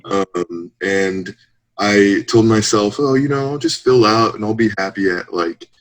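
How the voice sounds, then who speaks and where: A man's voice: lowish voice, unhurried, poor audio. A man in his late twenties, a guest in a casual interview, talking in a thoughtful, candid way and laughing between points. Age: 20s.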